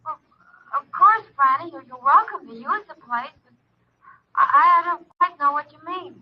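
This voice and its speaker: An actress playing a brisk, concerned nightclub friend talking on the telephone in a 1940s radio drama. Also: female voice, sits high, expressive. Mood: puzzled. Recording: dull, muffled vintage radio recording.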